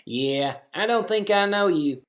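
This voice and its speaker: A man's voice: high-pitched, lively intonation, measured pace. A man playing a simple, agreeable slaughterhouse worker in a comic audio play, folksy and laconic. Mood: mild, agreeable.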